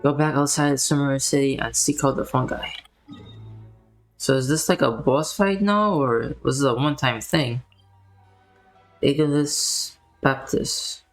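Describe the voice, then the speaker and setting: A man's voice: medium pitch, unhurried, soft background music, low-quality recording. A young man giving casual, slangy commentary while he plays a video game, in a laid-back way. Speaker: gaming streamer.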